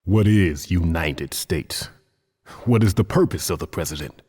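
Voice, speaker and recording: A very slow, low, grave game character. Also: male voice, big-room sound, heavy background noise. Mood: grave, questioning. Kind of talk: dramatic video game cutscene acting.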